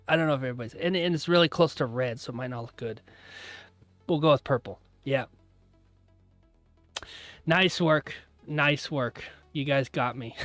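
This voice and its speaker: A man's voice: fairly high pitch, fairly bright tone, no room sound. A man hosting a live trading stream, chatting casually with his viewers, laughing often and rambling through asides. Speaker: trading livestream host.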